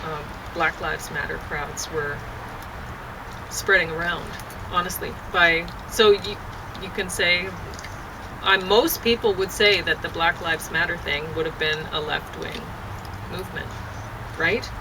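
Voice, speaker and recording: A woman's voice: on the low side, fairly even intonation, unhurried. A woman chatting casually outdoors, in a hesitant, rambling way that often repeats itself. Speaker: poet and vlogger. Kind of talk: casual chat. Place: outdoors on a deck near downtown. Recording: clear outdoor urban and traffic noise.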